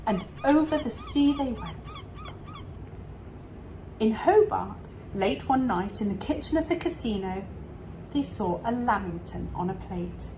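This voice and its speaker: A woman reading a children's story aloud expressively, with dramatic pauses and drawn-out words. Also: fairly high pitch, a little mellow, slight background noise, poor audio. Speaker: storybook reader for an English club.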